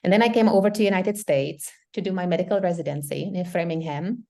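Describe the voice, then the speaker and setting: A woman's voice: lowish voice, fast talker, creaky, darkish tone. A middle-aged woman from the Czech Republic with a Czech accent, a doctor explaining her practice earnestly in an interview. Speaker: guest doctor. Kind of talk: interview conversation.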